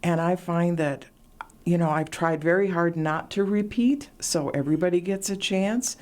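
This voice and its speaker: A middle-aged woman speaks in a low, mellow voice. She shares stories in a reflective, conversational way, pausing on fillers now and then. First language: Latvian. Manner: warm storytelling, reflective, frequent fillers. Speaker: radio show host, interview guest. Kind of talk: casual interview chat.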